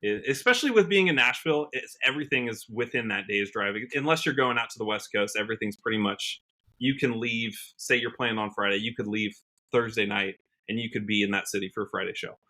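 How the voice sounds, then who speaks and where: A man with an American accent and a fairly low, bright, forward voice. He talks casually as an interview guest, reflective and a little rambling, working out his thoughts as he speaks. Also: fairly quick. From Washington state, United States. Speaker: guest, country singer-songwriter.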